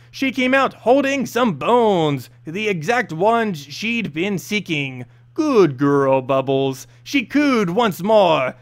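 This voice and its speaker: A man's voice: high-pitched, fairly bright tone. A man reading a scripted fantasy story aloud as narrator, expressively and with the odd stumble over words. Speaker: narrator and host of a lore reading series.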